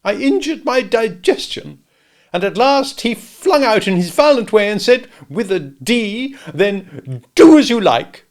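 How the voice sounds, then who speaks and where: A man puts on a shrill, affected older lady in a storybook who frets and complains about family propriety. She swoops high with indignation, a gravelly edge under the put-on pitch. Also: sing-song, measured pace, exaggerated voice. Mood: indignant. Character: fussy affected older lady relative, self-pitying.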